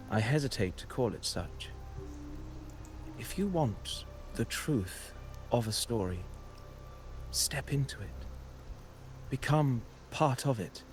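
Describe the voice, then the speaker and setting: An actor playing a humble, cryptic poet in a video game, speaking in measured, ornate, theatrical phrases. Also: male voice, on the low side, a little hiss or hum. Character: male poetic bard narrator, humble, cryptic, courteous. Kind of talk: video game character dialogue.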